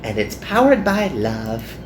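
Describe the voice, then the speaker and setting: A man's voice: mid-range voice, huge pitch swings, slow, roomy sound, noisy background. A man voicing an earnest, perky young magical girl from an anime game.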